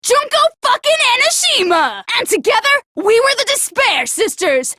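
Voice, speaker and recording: A woman's voice: extremely high pitch, sing-song, normal speed, heavy background noise. A woman voicing a manic, bratty, foul-mouthed teenage girl villain in an anime video game, brash and explosive. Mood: manic, bored rage.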